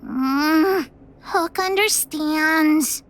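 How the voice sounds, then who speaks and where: A woman's voice: high voice, extremely slow, a bit bright, exaggerated voice, rough recording. An actress voicing a feisty, stubborn little girl in a video game, with a childish manner. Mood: sulky. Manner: childish, speaks of herself in third person. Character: feisty, stubborn little girl who calls herself boss.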